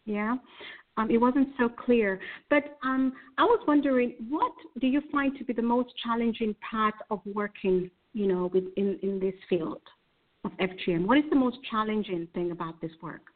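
A woman from Kenya hosts an online conversation, her voice sitting a touch high. She talks haltingly, restarting and filling gaps. The audio is thin and phone-like. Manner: hesitant, many fillers and restarts. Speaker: host. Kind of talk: casual interview over a call. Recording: tinny phone-like call audio.

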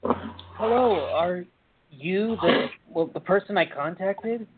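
A woman playing a nervous, halting young person seeking help in a radio play. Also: on the low side, lively intonation. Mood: hesitant. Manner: halting, unsure. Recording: thin phone-like sound, noisy line.

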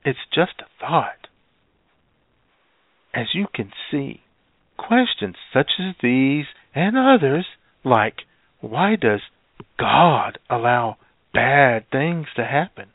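A man's voice: mid-range voice, very animated, slow pace, pauses often, no room sound, exaggerated voice. A man voicing a smug, condescending male radio preacher in a comic bit, heard as a tinny, phone-like recording. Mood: preachy.

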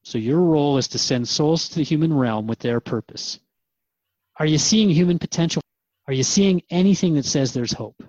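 An earnest human seeker played by a man in a radio drama, with a slightly high male voice. He questions in a conversational, sometimes halting way. The recording is dry and a touch dull. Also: a little mellow, no room sound, lightly acted. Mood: searching, concerned. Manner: hesitant, inquisitive, earnest.

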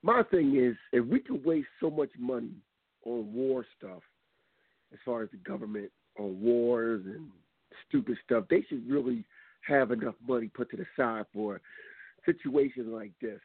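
Hoarse raspy guy hosting his radio show, rambly, dry tinny phone sound. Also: mid-range voice, very animated, unhurried, no echo. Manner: rambling, loose, with many ums. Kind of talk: casual call-in radio talk.

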